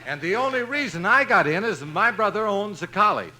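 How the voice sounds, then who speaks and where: A man's voice: high voice, lively intonation, fairly quick, background noise. A man playing a haughty, dismissive young fraternity snob in a 1950s radio comedy. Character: snobbish young male college fraternity man, condescending.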